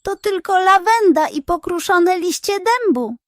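A woman acts out a male pig detective from a bedtime storybook. He is stern and resolute, speaking high and emphatically in a very dry, close sound. His manner is firm. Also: animated, unhurried, no room sound. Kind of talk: children's bedtime story character voice.

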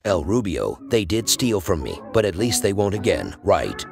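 Deep-voiced man over loud music. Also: sing-song, normal speed, gravelly. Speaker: narrator of meme compilation. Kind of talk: reading out captions and memes.

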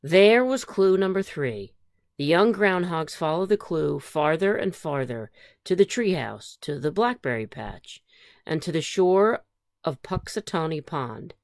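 Animated woman reading a children's storybook. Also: lowish voice, unhurried, dry recording, studio quality. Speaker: storybook reader. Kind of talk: expressive children's read-aloud.

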